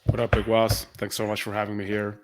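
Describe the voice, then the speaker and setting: A man's voice: lowish voice, completely flat delivery, a little fast, a little hiss or hum. A man from Argentina speaking as an expert guest, explaining things informally in a casual interview. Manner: informal, explanatory, peppered with "like" and "right?". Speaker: economics professor, podcast guest.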